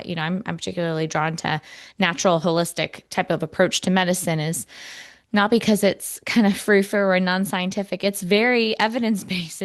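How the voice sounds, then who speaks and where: A woman's voice: mid-range voice, dry recording, studio quality. A woman hosting a podcast, chatting conversationally with fillers as she steers the interview. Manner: conversational, with fillers and agreeing murmurs. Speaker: podcast host. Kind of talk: casual interview chat.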